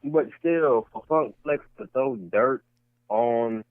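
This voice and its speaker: A man's voice: mid-range voice, slow pace. A man co-hosting a casual podcast who argues his point and keeps repeating himself. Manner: repetitive, argumentative, restarts points. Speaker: podcast co-host. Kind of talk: casual debate chat. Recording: tinny lo-fi podcast audio.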